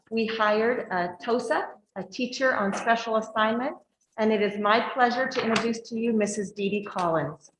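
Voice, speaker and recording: A woman's voice: on the high side, a bit bright, slight background noise. A woman, a school district director, presenting to a board meeting, reading her slides aloud in a big voice with frequent fillers. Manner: says she has a big voice, reads slides aloud with fillers. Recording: echoey meeting room.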